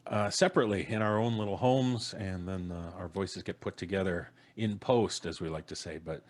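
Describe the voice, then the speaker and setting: A man's voice: sits low, normal speed. A middle-aged man hosting a live podcast in a jovial, showman-like, chatty manner from a home setup. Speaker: podcast host and announcer. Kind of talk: live show hosting, casual chat. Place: home room on a video call.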